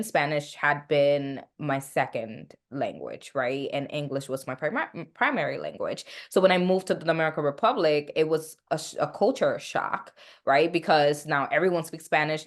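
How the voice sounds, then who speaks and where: A young woman from the United States, a therapist and interview guest, talking in a gracious way with frequent fillers. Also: low-pitched. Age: young adult. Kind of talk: casual interview conversation.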